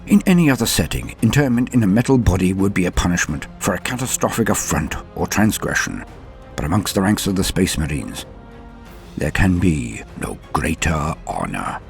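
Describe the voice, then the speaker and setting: A man's voice: deep voice, vocal fry, a little mellow. A man hosting a lore show, delivering scripted narration in a theatrical, formal and florid manner. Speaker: host of a Warhammer lore show.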